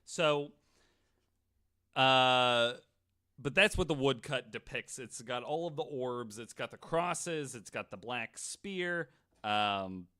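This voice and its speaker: Bright-voiced man swearing through a story. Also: medium pitch, animated, slow pace, clean tone. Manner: swears freely; peppers talk with "like" and "right". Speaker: podcast host. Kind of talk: casual storytelling on a panel podcast.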